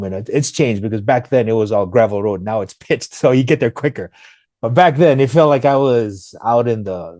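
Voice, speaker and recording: A man's voice: lowish voice, warm tone, no echo. A man from Fiji with a Fijian accent, chatting casually and informally as an interview guest. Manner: informal, often says "man" and "you know". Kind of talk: casual conversational storytelling.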